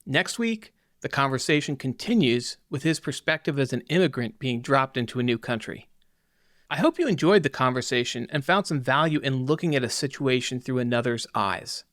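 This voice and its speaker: A man's voice: medium pitch, lively intonation, moderate pace, fairly bright tone, no echo, studio quality. A man hosting a podcast, curious in conversation and asking follow-up questions. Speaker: podcast host. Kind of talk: conversational interview and outro.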